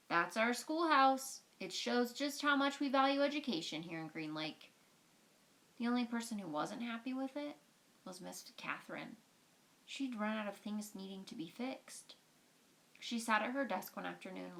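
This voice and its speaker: A woman's voice: mid-range voice, vocal fry. A woman reading a storybook aloud as a storyteller, explaining things in simple, informal words.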